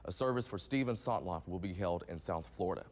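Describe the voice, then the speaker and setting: A man's voice: sits low, fast talker, in a large hall. A man with an American accent reading scripted morning television news as the anchor. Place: TV news studio.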